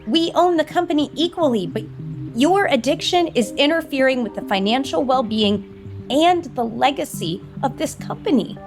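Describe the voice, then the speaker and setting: A woman's voice: high-pitched, lively intonation, moderate pace, faint music behind. A woman acting in an audio soap opera as a stern, critical older sister and company executive. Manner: firm, reproachful.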